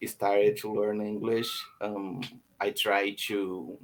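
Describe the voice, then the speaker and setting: A man from Brazil, a native Portuguese speaker, practicing English with a tutor over a video call, pausing often to find his words. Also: on the low side, little pitch movement, rough recording. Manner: hesitant, searching for words, many "um"s. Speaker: English student. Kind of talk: casual conversation lesson.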